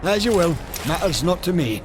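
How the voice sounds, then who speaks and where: An actor playing a good-natured young giant blacksmith in a video game, folksy and earnest. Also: male voice, high-pitched, lively intonation, normal speed. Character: eager good-natured young Maker smith, brawny giant. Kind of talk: video game character acting. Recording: game music behind.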